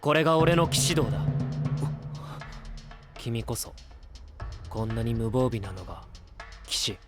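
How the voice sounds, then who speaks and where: An actor playing a self-possessed young knight with a calm, measured, teasing manner in a fantasy audio drama. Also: male voice, medium pitch, faint music behind, close to an everyday voice. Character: cool, elusive, self-possessed young male knight candidate.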